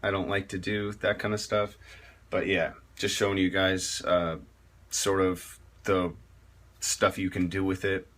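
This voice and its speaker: Slow, deep-voiced man explaining vocal technique. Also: level tone, fairly bright tone. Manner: rambling and halting, many fillers and restarts. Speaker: metal vocalist teaching screaming technique. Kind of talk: casual spoken tutorial.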